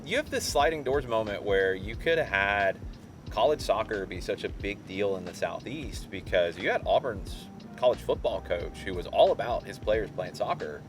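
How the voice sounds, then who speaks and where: A man's voice: fairly low pitch, animated, moderate pace, faint music behind. A man in an informal interview, explaining local soccer history in a conversational way.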